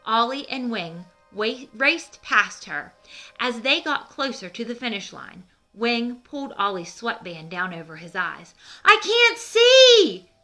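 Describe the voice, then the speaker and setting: Slow, bright-voiced woman reading a storybook. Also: mid-range voice, animated, soft background music, low-quality recording. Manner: slow, deliberate, explains things simply. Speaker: children's read-aloud host. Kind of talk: storybook read-aloud with asides to children.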